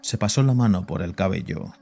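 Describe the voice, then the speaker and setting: A man's voice: deep voice, animated, a little fast, creaky, dark tone. A man narrating a scripted horror story as its narrator. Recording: voice over background music.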